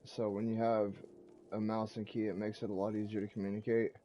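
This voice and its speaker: A man's voice: on the low side, level tone, brisk, dark tone, dull audio, slight background noise. A man giving casual gameplay commentary as a streamer, talking through what he is doing. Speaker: game streamer.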